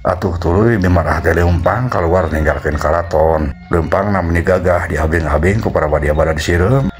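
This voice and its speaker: A man's voice: low-pitched, normal speed. A man with a Sundanese accent narrating a folktale as its storyteller. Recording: music behind the voice.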